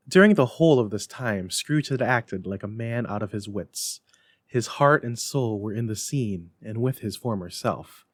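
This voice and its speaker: A man reading scripted storybook narration in an expressive, measured way. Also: on the low side, dry recording, studio quality. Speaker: narrator, dramatic reader.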